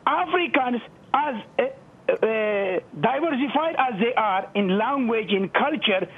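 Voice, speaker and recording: High-pitched Ethiopian man on a thin phone line. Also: expressive, a little slow, slightly rough. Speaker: phone-in caller. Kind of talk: impassioned opinion on a talk show call. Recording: muffled, thin telephone line.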